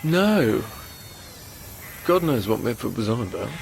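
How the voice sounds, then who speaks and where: A man's voice: medium pitch, big pitch swings, unhurried, creaky, slight background noise. An actor playing a guarded, self-absorbed, conflicted young lover in a radio drama, hesitant and confessional as he narrates and talks. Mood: guarded, reflective. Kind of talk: radio drama acting with first-person narration.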